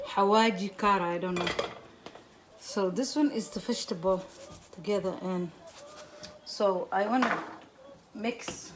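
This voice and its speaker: A woman with a Somali accent talks viewers through a home cooking demonstration in a casual, chatty way, her English halting and mixed with Somali. She stays at a middle pitch. Clear background noise runs behind her in the kitchen. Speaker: cooking channel host.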